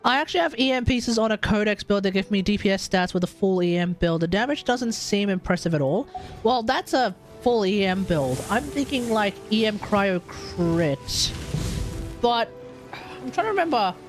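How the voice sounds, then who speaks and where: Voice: mid-range voice, expressive, moderate pace. A streamer with an Australian accent chatting casually and thinking aloud while playing a video game live. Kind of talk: casual livestream gameplay chat. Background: game music and combat sounds.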